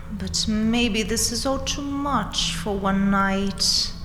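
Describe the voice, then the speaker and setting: Woman acting slow, haughty vampire queen in echoing hall. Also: mid-range voice, background noise. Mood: playful, imperious. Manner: theatrical, taunting, drawn-out mock sweetness. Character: haughty conquering vampire queen, mocking, seductive and menacing. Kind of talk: dramatic audio roleplay addressed to the listener. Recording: roomy, long hall-like reverb. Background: dark castle ambience music.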